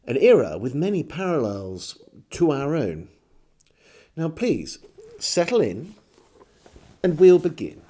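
A middle-aged man with an English accent, reading like a theatrical storyteller in a measured, literary style. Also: mid-range voice, a little mellow. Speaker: storyteller and host. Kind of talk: dramatic literary reading of a historical diary. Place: book-lined room at home, indoors.

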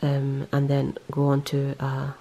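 Low gravelly woman, rambling knitting chat. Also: completely flat delivery, measured pace, warm tone, slight background noise. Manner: rambling, self-correcting, lots of ums. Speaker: knitting vlogger. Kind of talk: casual show-and-tell. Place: indoors, close-up on hands and yarn.

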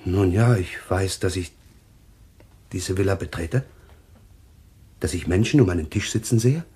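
An actor in a German radio play playing an anxious, troubled man haunted by visions, speaking haltingly with a husky voice. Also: deep voice, mellow, full character voice. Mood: haunted, confused. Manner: halting, haunted, repeating himself.

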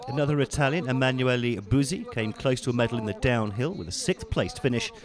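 Man narrating ski race highlights. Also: a crowd in the background. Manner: measured, polished broadcast delivery. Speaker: sports commentator.

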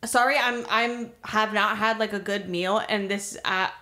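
A woman's voice: medium pitch, steady tone, measured pace, sharp tone. A woman in her twenties co-hosting a podcast in casual chat, slangy and teasing with frequent swearing, on a podcast mic in a small room. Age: 20s. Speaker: podcast co-host. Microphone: podcast microphone on boom arm.

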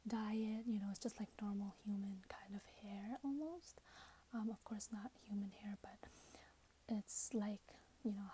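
A woman in her twenties speaking in a hushed, breathy whisper, gently role-playing a customer service clerk for ASMR. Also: fairly high pitch, a little hiss or hum. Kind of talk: soft-spoken ASMR role-play. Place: small plain indoor room.

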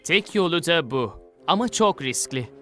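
A man's voice: fairly high pitch, lively intonation, moderate pace, bright, forceful tone, faint music behind. A man playing a serious, cautious young rebel leader in a cartoon dub, earnest and informative. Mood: cautious, grave. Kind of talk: dubbed cartoon acting.

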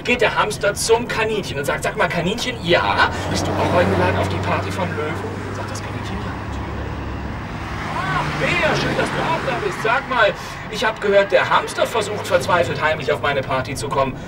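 An eager hapless joke-teller, high-pitched. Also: male voice, animated, fairly quick. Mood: eager joke telling. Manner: eager, exaggerated joke telling. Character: hapless, chirpy salesman nervously telling a joke. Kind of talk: radio play acting. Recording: distant in a noisy moving bus.

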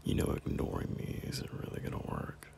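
A young man playing a teasing, bashful male friend in an intimate, casual romance audio roleplay, soft-spoken and halting. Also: in a whisper, lightly acted. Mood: playful teasing. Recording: noticeable background noise.

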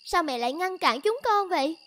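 A woman's voice: very high-pitched, animated, brisk, a bit bright. A woman voicing a devoted, stubborn young village woman in love in a cartoon, earnest and pleading. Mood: pleading, defiant. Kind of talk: cartoon voice acting.

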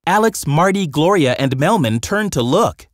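A man's voice: fairly high pitch, animated, normal speed, dry recording, studio quality. A man narrating a scripted children's story with dramatic storytelling delivery.

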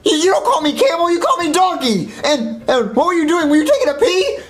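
Excitable young man in a puppet skit. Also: extremely high pitch. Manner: bewildered, exclaiming, fast. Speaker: puppeteer voicing a plush hero. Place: suburban house indoors.

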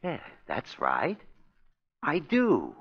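An actor playing an eccentric, cryptic old groundkeeper with a halting, theatrical manner in a 1930s radio drama. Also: male voice, on the high side, wildly swooping pitch, husky, heavily acted. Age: older. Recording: dull lo-fi 1930s radio recording.

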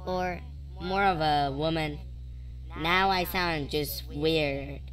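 A man voicing a woman through a pitch-shifting voice changer. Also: steady tone, slow pace, fairly bright tone. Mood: amused.

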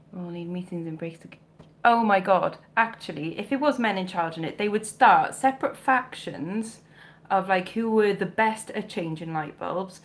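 A woman from South Wales in her thirties with a mild Welsh accent, chatting casually and joking as she answers comments on a home live stream. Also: mid-range voice. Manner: chatty, self-mocking, jokey asides. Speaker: vintage clothing reseller, livestream host. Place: home bedroom with shelves, indoors. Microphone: webcam or phone close up.

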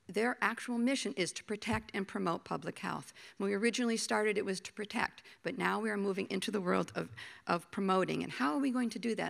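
A middle-aged woman giving a conference talk at a podium, hoarse from a cold, halting and repeating words as she thinks. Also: medium pitch. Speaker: panel speaker, agency official. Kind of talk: conference presentation. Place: conference hall stage, at a podium. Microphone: podium microphone.

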